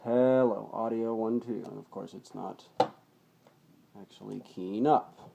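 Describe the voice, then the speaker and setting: Low, gravelly man over loud noise. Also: fairly even intonation, unhurried, muffled recording. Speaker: hobbyist presenter demonstrating radio gear. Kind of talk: casual unscripted product demonstration. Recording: clearly noisy amateur home video.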